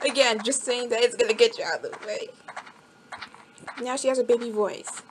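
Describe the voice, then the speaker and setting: A woman giving casual let's-play commentary, excitable and chatty, talking straight to the game characters and repeating herself. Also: fairly high pitch, moderate pace, slight background noise, low-quality recording. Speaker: gameplay commentator. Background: game music.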